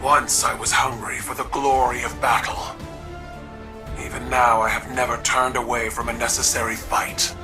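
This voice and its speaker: A man voicing a formal, battle-weary alien ruler in a video game, speaking in solemn, measured sentences. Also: medium pitch, level tone, over music, close to an everyday voice. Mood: solemn regret.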